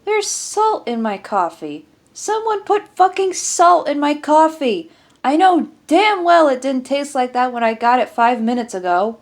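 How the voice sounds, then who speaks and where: A woman's voice: high-pitched, animated, unhurried, pitched above the performer's own voice. A woman voicing an irritable male military sergeant in an audiobook story, sweary, indignant and commanding. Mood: outraged.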